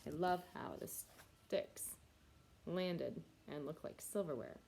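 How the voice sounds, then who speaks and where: A woman voicing a chatty, self-justifying wolf in a children's picture book read-aloud, in an animated, conspiratorial way. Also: low voice, slow, creaky, noisy background, voice pushed down, full character voice. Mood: matter-of-fact, sly.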